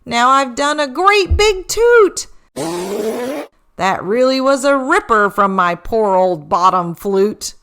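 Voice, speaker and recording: A woman's voice: a little high, very animated, slow, a bit bright, clear, resonant tone, voice pushed up, full character voice. A woman voicing an embarrassed, apologetic young male reindeer in a storybook, with a sheepish, exclaiming delivery.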